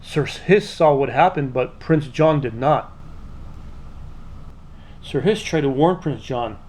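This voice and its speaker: A man narrating a children's storybook aloud in an expressive, scripted read-aloud style. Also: on the high side, slow pace.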